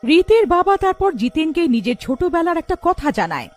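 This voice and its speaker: A woman narrating an animated story in a scripted storytelling style. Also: extremely high pitch, brisk, faint music behind.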